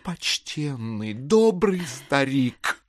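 An actor playing a smooth-talking, calculating gentleman in an audio play, unctuously polite and theatrically courteous. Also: mid-range voice, sing-song, very slow, dry recording, studio quality. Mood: ingratiating.

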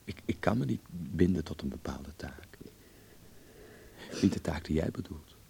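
Radio drama role: a gentle, wry young man, low creaky voice, halting tender delivery, noisy rumbling recording. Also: lively intonation, heavily acted. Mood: earnest. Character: gentle, teasing young man devoted to his work. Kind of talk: Dutch radio play acting.

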